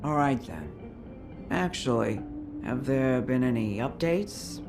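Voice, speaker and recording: An actress playing a tough, world-weary bartender in a video game, blunt and fed up. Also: female voice, a bass voice, expressive, slow, loud music behind the voice, full character voice.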